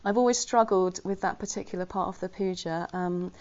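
A woman's voice: on the low side, steady tone, fairly quick, a little mellow. A woman giving an informal Dharma talk to her Buddhist community. She speaks earnestly and conversationally, with self-deprecating humour. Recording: slightly muffled recording.

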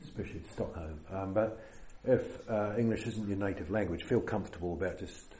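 Deep-voiced New Zealand meditation teacher. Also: male voice, dark tone, roomy sound, a little hiss or hum. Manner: unhurried, hesitant, many fillers. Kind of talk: dharma talk.

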